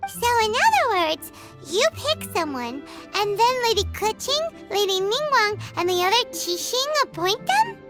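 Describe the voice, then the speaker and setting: A tiny childlike fairy sidekick from a video game. The voice is extremely high and squeaky, lively and exaggerated, full of curiosity. Music plays behind it. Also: female voice, a little slow, hardly any pauses, heavily acted. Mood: curious. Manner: cute, exaggerated, speaks in third person. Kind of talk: scripted video game dialogue.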